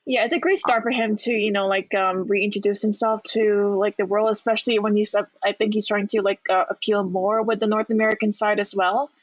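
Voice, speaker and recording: A woman's voice: mid-range voice, little pitch movement, a little fast, hardly any pauses, dry recording, muffled recording. A woman co-hosting a podcast, talking hesitantly about a song with many fillers. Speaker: podcast co-host. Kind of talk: casual music review chat.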